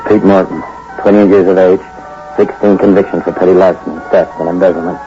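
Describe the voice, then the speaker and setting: A man's voice: sits low, fairly even intonation, fairly quick, natural voice. An actor playing a desperate young crook in his twenties, narrating in a tense, hardboiled way in a 1940s radio drama. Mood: brooding. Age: 20s. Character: desperate young male crook turned killer. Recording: dull old radio recording with music.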